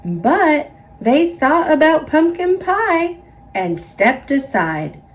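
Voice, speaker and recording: A woman with an American accent narrating a children's picture book in an expressive, emphatic read-aloud style. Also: high voice, takes it slowly. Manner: expressive, emphatic, repetitive build-ups. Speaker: storybook narrator.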